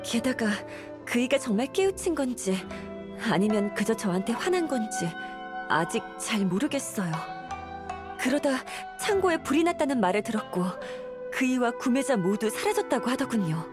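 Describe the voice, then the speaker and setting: Actress as a high-voiced, weary, apologetic wife. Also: female voice, expressive, normal speed, music in the background, natural voice. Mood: regretful. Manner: polite, plaintive, earnest storytelling. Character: weary, apologetic wife and mother burdened by debts. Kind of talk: video game voice acting.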